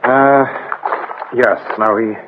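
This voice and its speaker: An actor playing a nervous, apologetic hotel keeper with a British accent, hesitant and deferential, in a radio drama. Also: male voice, mid-range voice, fairly even intonation, drawn-out words. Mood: flustered. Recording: slightly dull radio recording, faint noise.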